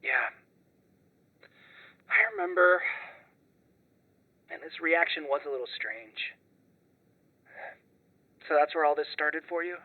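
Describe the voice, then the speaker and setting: A man's voice: a little high, slow pace, long pauses. An actor playing a frustrated, distrustful young man, blunt and sweary, in a conversational audio drama over a dull phone-call line. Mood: subdued, conciliatory.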